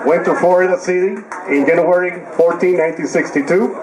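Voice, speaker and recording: A retired man in his sixties from Cuba, giving a brisk, good-humored speech to a reunion crowd. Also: high-pitched, steady tone. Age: 60s. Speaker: retired engineer, reunion guest. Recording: loud crowd in a hall.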